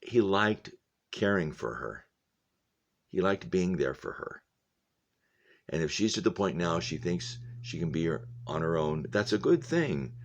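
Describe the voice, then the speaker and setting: A man with an extremely low voice narrates a novel aloud as a teacher would. He reads the prose and adds plain explanatory comments for his listeners. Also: big pitch swings, measured pace.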